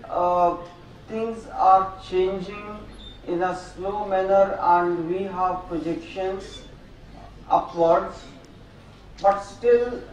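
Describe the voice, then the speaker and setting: Older guy giving a keynote at a podium, fairly high voice, slow and halting, big echoey hall. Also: recorded from a distance. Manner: slow, halting lecture with frequent fillers. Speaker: keynote speaker. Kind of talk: academic conference keynote. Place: large auditorium at a lectern. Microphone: podium microphone, room sound. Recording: echoey hall, voice from afar, faint noise.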